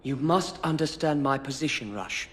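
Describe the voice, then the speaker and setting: A man playing a cautious young noble lord in a video game, speaking formally and with measured authority. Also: mid-range voice, fairly bright tone, natural voice. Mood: grave, firm. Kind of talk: video game cutscene acting. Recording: long hall reverb, music underneath.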